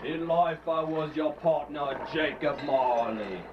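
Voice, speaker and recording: A man's voice: fairly high pitch, slow, noisy background. A man playing the solemn, accusing ghost of a dead business partner in a student radio drama, speaking in a declamatory way. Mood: solemn, stern. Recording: dull, distant-miked radio play recording.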